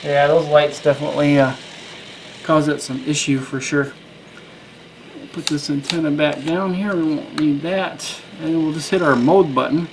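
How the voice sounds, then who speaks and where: A man giving a casual, matter-of-fact product demonstration in a halting way. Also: fairly high pitch, steady tone. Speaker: radio reviewer. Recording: clear background noise.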